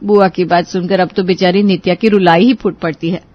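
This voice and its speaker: A woman's voice: on the low side, steady tone, fairly quick, no echo, highs cut off. A woman narrating a scripted story expressively.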